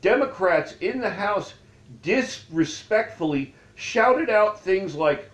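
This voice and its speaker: A man reading aloud from his own memoir as its author, with deliberate, halting phrasing. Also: sits high, expressive, fairly bright tone. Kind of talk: read-aloud memoir narration. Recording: dull, echoey room recording.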